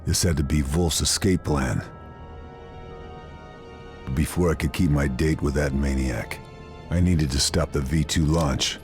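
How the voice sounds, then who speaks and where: Game narrator: steely, weary wartime sniper, extremely low voice, terse grave delivery, scripted cinematic read. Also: male voice, steady tone, unhurried, pauses often, darkish tone, faint music behind. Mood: grim determination. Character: weary, steely American WWII sniper recounting his mission.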